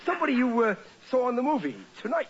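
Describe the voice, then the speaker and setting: An actor playing a vain, blundering middle-aged working-class husband in an old-time radio sitcom, folksy and bumbling. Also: male voice, very high voice, big pitch swings, quick pace. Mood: preening. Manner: folksy, bumbling and hesitant, self-satisfied. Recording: muffled, very noisy old radio recording.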